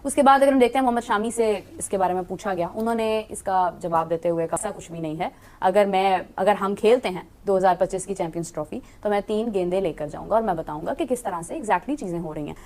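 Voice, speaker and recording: A female presenter speaks rapidly in a mid-pitched voice. Her delivery is conversational, in the style of a sports discussion show. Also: a little mellow.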